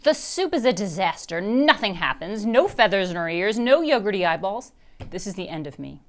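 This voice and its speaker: A woman voicing an excitable, overdramatic little girl in a storybook read-aloud. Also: medium pitch, normal speed, lightly acted. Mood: excited.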